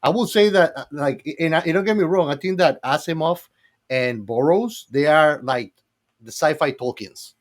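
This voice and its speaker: A man's voice: on the high side, moderate pace. A man co-hosting a podcast, chatting casually in an enthusiastic, emphatic and playfully argumentative way. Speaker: podcast co-host. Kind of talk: casual fan chat.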